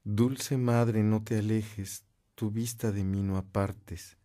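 A man's voice: fairly low pitch, fairly even intonation, mellow. An actor playing a devout man reciting a prayer reverently in a radio drama. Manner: reverent, measured. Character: devout man praying to the Virgin Mother.

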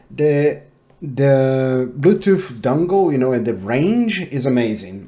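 A man in his thirties giving a casual product review to camera, talking in a halting way as he thinks aloud. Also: mid-range voice, dark tone. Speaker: tech reviewer. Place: small home office room, indoors.

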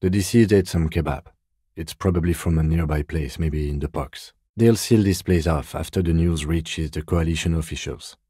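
An actor playing a composed, dry police detective in a video game, speaking in a measured, restrained, matter-of-fact way with a soft husky edge. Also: male voice, rumbling low, no room sound. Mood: calm, analytical. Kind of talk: video game dialogue acting.

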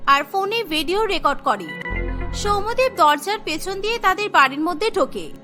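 A woman narrates a cartoon story from a script. Her voice is extremely high and bright, with faint music underneath. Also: lively intonation, normal speed.

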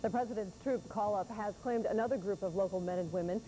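Female studio anchor, thirties, warm mid-range voice reading the news, slight tape hiss. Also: medium pitch, quick pace, low-quality recording. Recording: faint hiss, old TV broadcast.

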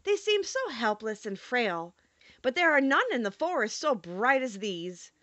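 A woman's voice: fairly high pitch, very animated, a little slow, fairly bright tone, dry recording. A woman voicing a big, tender-hearted male lion in a children's storybook, speaking earnestly. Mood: wistful.

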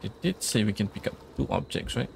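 Low, creaky-voiced man doing game commentary. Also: lively intonation, a little fast, slight background noise. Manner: murmured thinking aloud, reads on-screen instructions. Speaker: gaming video host. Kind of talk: casual let's play commentary.

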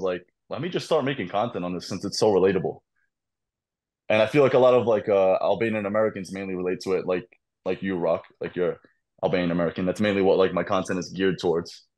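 A young man, a podcast guest and content creator, telling animated stories in casual chat over a video call. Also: low-pitched. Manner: animated storytelling, laughs often.

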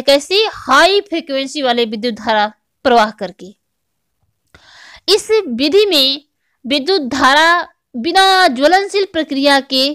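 Indian lady teacher explaining stuff, high voice, repeats points, dry sound no echo. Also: fairly bright tone. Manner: didactic, repeats and rephrases key points. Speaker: online physical education teacher. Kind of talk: explanatory exam-prep lecture.

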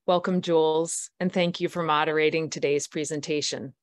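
A woman host reading out a formal introduction in a brisk manner over a video call. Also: low-pitched, steady tone.

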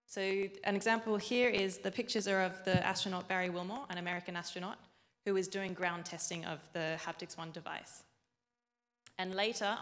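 A young woman engineer giving a clear, explanatory conference talk into a handheld mic in a large hall, now and then correcting herself. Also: on the low side, a bit bright. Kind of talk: conference presentation. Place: large event hall with audience. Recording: long hall reverb.

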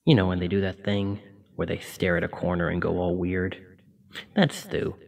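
A man playing a kindly, apologetic sleep paralysis demon in an ASMR roleplay, speaking in a gentle, reassuring, informal way. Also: deep voice, level tone, moderate pace, gravelly, distant mic. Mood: chatty, fond.

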